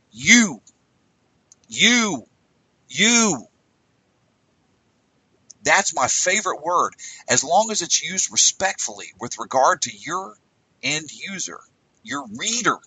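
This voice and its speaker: Man, late 40s, conversational writing coach, husky edge, set slightly off-mic. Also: mid-range voice, bright, forceful tone, distant mic, low-quality recording. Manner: conversational, emphatic, a bit exasperated. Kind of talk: casual lecture on headline writing.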